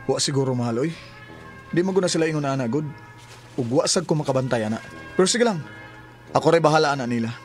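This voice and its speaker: A man's voice: medium pitch, expressive, fast talker, pauses often, soft background music, natural voice. A man acting as a devoted, protective young boyfriend in a Cebuano radio drama, with a Cebuano Filipino accent. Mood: reassuring. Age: young adult.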